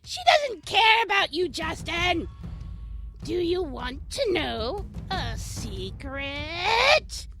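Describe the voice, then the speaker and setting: A malevolent demon hag in an audio drama. She taunts in a very slow, theatrical drawl that swoops up and down with menace. Music plays behind her. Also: very high-pitched, very animated, sharp tone, heavily acted. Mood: cruel taunting. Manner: menacing, mocking, drawn-out theatrical delivery.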